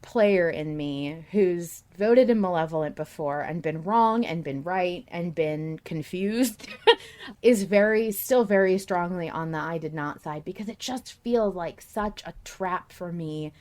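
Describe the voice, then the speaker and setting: Woman podcast host, slightly low voice, chatty, talking through her reasoning with emphasis. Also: unhurried, a little mellow. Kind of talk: casual podcast discussion of a story.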